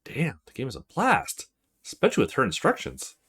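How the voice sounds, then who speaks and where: A man hosts a gaming let's play in a medium-pitched voice. His intonation is extremely lively as he moves between chatting to viewers and reading story text aloud. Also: fairly quick, breathy edge. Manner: chatty, greets and addresses viewers directly. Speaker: let's player, host of a small gaming channel. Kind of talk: let's play commentary with read-aloud visual novel text.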